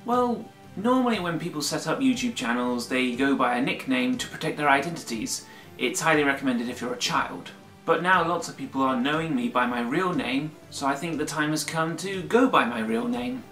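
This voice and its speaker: A man talks straight to his viewers in an earnest, emphatic vlog, his voice slightly croaky. The room around him is echoey, and faint music plays underneath. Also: on the high side, lively intonation, moderate pace, fairly bright tone. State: slightly croaky after flu. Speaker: YouTuber. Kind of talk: casual vlog monologue.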